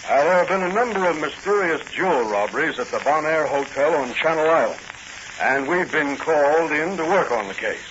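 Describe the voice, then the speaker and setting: An actor playing an earnest, authoritative police commissioner with an American accent, formal and businesslike, in 1940s radio drama style. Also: male voice, fairly high pitch, lively intonation, brisk, background noise, close to an everyday voice. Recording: dull vintage radio recording.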